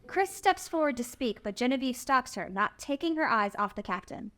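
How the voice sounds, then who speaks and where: Female narrator, higher-pitched voice, scripted delivery of screenplay action and scene headings. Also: animated, normal speed, sharp tone. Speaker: narrator reading stage directions. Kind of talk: scripted narration at a table read.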